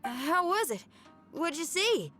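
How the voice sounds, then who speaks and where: Bright, spirited young rock girl. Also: very high-pitched, very animated, takes it slowly, soft background music, full character voice. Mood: curious, alert. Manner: colloquial, punchy, enthusiastic. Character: spirited young female rock musician, bold and upbeat. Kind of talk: animated video game voice acting.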